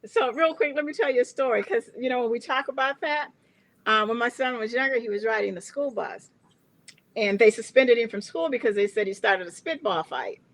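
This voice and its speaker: A woman's voice: a little high, fairly quick, fairly bright tone, poor audio. A woman with a Southern American accent, a guest in a panel conversation, earnestly building her points through stories.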